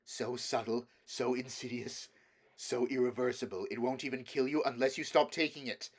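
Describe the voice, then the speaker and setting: A man's voice: medium pitch, fairly even intonation, normal speed, lightly acted. Man reading intense teenage boy, bitter, commanding, anguished outbursts, iron control. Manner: rising to anguished shouts, then cold and iron-controlled.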